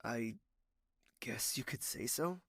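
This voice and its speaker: Man playing a brooding, slow-spoken, gravelly prince. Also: medium pitch, lively intonation, a bit bright, dry recording, studio quality, exaggerated voice. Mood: wistful. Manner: measured, terse. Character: brooding, commanding young prince, calm and guarded. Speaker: voice actor in audio drama. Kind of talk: dramatic audio drama acting.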